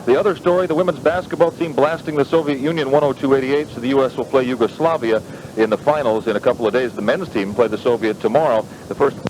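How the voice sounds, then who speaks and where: A man with an American accent delivering a live radio sports news report as a correspondent. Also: fast. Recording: noisy rumbling 1980s radio link.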